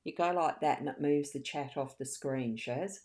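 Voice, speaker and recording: Female live-stream card reader, exceptionally low voice, casual, giggly and a bit scattered. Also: normal speed, darkish tone. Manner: chatty, giggly, scattered, thinking aloud.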